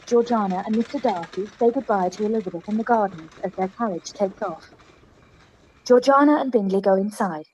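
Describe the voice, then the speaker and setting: A woman's voice: medium pitch, a little mellow, breathy edge, poor audio. A woman reading scripted stage directions as the narrator of a radio play.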